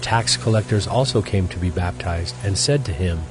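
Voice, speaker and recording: Low male narrator over music. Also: moderate pace. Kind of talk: scripted audio Bible narration. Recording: music clearly behind the voice.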